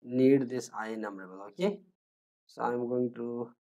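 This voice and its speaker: A man, talking through a screen-recorded coding tutorial in a halting way, with many fillers and restarts as he thinks aloud. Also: medium pitch, warm tone. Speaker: programming tutor.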